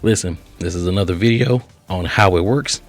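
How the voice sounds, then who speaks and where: A man's voice: low-pitched, a little fast. A man hosting a casual step-by-step tutorial, chatty and instructional, often checking in with short tags. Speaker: host of software tutorial channel.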